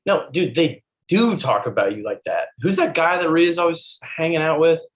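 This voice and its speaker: A man's voice: fairly high pitch, normal speed, natural voice. A man playing a blunt, aggrieved buddy in his twenties in a comedy script table read.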